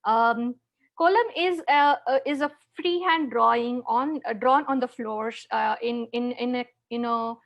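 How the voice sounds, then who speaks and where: South indian lady teaching on a video call, high pitched, kinda halting, repeats words a lot. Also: gravelly. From Chennai, South India. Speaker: teacher, online conference presenter. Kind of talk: interactive online lesson with slides.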